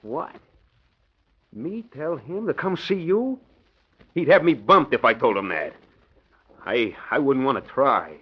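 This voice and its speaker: A man's voice: medium pitch, expressive, measured pace, long pauses, husky. An actor playing a wary small-time gangster henchman in a 1940s radio crime drama, talking in tough mob slang. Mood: nervous. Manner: tough slangy mobster talk. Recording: muffled, noisy old radio recording.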